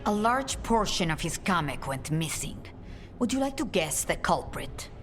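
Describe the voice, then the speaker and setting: An actress playing a grave, commanding leader of assassins in a video game, speaking in a solemn, measured way. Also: female voice, lowish voice, very animated, faint music behind. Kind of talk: dramatic video game acting.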